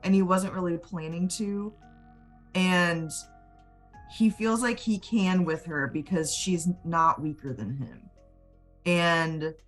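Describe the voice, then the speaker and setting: A woman playing a tabletop game, casually and reflectively explaining her character out of role. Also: low-pitched. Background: faint music.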